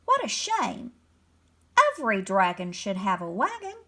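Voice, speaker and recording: A woman voicing a small, earnest male mole in a storybook, squeaky, pleading and coaxing. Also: animated, moderate pace, a bit bright.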